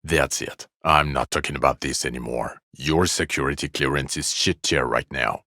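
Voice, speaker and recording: An extremely low, creaky male voice for a middle-aged police detective in a video game. He is jaded and blunt, dripping with weary sarcasm. The sound is close and dry, with no room on it. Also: normal speed, pushed and bright, dry recording, heavily acted. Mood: sardonic, curt.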